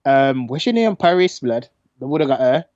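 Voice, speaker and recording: A man's voice: medium pitch, moderate pace, dry recording. Dry, slightly muffled London man, slangy. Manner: slangy, animated arguing. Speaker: podcast co-host. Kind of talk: casual podcast debate.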